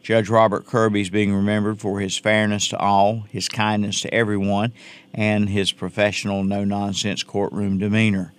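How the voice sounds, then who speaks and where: A man's voice: lowish voice, little pitch movement, moderate pace, clear, resonant tone. A man delivering scripted local news as a radio reporter.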